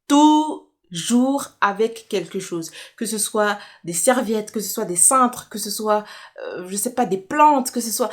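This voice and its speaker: A woman's voice: fairly high pitch, a little mellow. A young woman from Kinshasa chatting casually in a personal question-and-answer vlog, correcting herself as she thinks aloud. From Congolese, from Kinshasa, living in Switzerland. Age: young adult. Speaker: YouTuber.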